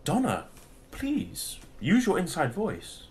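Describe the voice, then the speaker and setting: Male actor as pompous British toff, dim, excitable, stammering, animated intonation, audio comedy. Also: high voice, takes it slowly, husky, heavily acted. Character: pompous, excitable, dim upper-class brother running antique shop. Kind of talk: comic audio drama acting.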